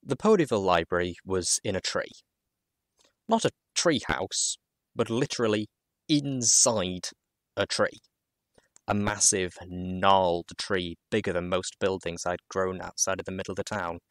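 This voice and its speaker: A man reading fan fiction aloud in an expressive storybook narration style. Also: low voice, unhurried, dry recording, studio quality.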